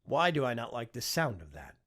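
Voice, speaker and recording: A man's voice: medium pitch, very animated, fairly quick, a bit bright. A man voicing a nervous, hesitant young friend of a prince in an audiobook, sounding unsure. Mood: nervous, wary.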